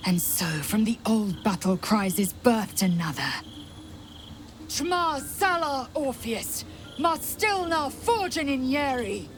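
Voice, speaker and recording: Fantasy game lead: fierce alien female fighter, grave and intense, deliberate delivery, music underneath. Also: fairly high pitch, sing-song. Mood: solemn resolve. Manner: solemn, formal, intense. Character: proud fierce githyanki warrior woman, solemn, embittered, resolute. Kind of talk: dramatic video game acting.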